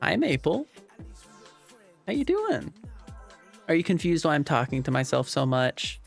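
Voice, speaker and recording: A man's voice: fairly high pitch, wildly swooping pitch, unhurried, gravelly. A man hosting a livestream, chatting casually and rambling as he responds to viewers. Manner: rambling, conversational. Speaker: livestream host. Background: soft background music.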